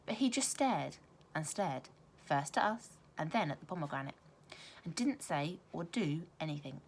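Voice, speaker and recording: A woman with a fairly low voice reads a children's story aloud. She is expressive and teacherly, placing careful emphasis on words and pausing for drama. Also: a little hiss or hum. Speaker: primary school teacher reading aloud.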